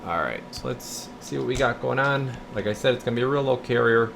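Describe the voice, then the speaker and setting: A man's voice: mid-range voice, brisk, vocal fry, fairly bright tone, a little hiss or hum. A man giving a casual, folksy, rambling walkthrough as a repair technician, thinking aloud as he goes. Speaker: radio repair technician presenting his repair videos. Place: radio repair workshop.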